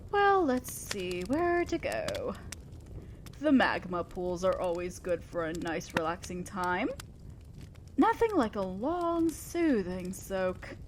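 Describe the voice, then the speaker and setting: A woman's voice: mid-range voice, big pitch swings, takes it slowly, slight background noise. A woman playing a refined, teasing demon lord in an audio roleplay, addressing a mortal servant with genteel, indulgent, theatrical playfulness. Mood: musing, relishing.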